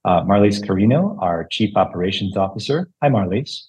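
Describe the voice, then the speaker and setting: A man hosting a podcast, introducing his guests and asking questions in a relaxed way with fillers. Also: lowish voice, a little fast. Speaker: podcast host. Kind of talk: interview questions.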